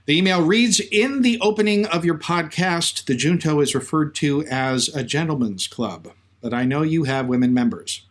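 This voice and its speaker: A man's voice: fairly high pitch, animated, normal speed, few pauses. A man co-hosting a podcast in a conversational, semi-scripted storytelling style. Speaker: podcast co-host.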